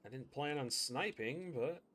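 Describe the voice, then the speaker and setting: A man's voice: medium pitch, lively intonation, a little slow, fairly bright tone, slight background noise. A man streaming a game, chatting casually and thinking aloud as he plays. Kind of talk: live gameplay commentary.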